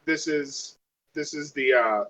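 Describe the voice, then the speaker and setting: A man's voice: on the high side, takes it slowly, fairly bright tone. A man chatting casually as a player at a tabletop game. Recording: dull, lo-fi voice recording.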